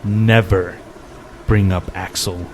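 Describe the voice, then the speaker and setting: Man acting low, sneering, hostile city protector. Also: steady tone, slow pace. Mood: hostile, threatening. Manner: confrontational, sneering. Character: hostile, suspicious, foul-mouthed male city protector. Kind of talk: audio drama acting. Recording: clear background noise, ambience.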